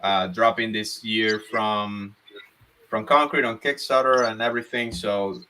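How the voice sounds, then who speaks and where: A man's voice: lowish voice, fairly even intonation, measured pace, pushed and bright. A young man from Cuba, a comic book writer, speaking earnestly in a casual interview with many fillers.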